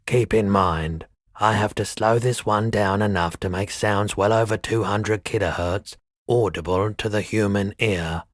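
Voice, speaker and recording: A man acts out a world-weary pawnshop owner from a video game, male and very low in pitch. He takes his time over each phrase, speaking slowly and softly with a wistful, laid-back air. The voice is husky and sits close and dry. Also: no echo, lightly acted. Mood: musing, wistful. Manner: unhurried, low-key, deliberate. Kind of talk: video game character dialogue.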